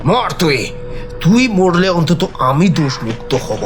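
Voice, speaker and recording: An actor playing a paranoid, violent middle-aged man in an audio thriller, agitated and melodramatic with a gravelly edge. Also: fairly high pitch, big pitch swings, measured pace, music in the background. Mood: furious, accusing.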